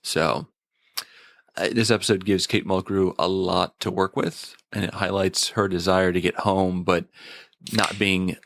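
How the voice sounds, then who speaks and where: A man giving a casual episode review in a thoughtful, slightly halting manner, often repeating a word as he goes. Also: sits low, steady tone, vocal fry, fairly bright tone.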